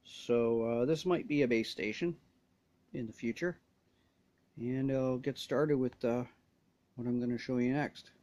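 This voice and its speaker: A man's voice: mid-range voice, fairly even intonation, a little slow, long pauses, darkish tone, muffled recording. A man casually demonstrating gear as a reviewer, talking in short, hesitant phrases. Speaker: gear reviewer showing a radio install.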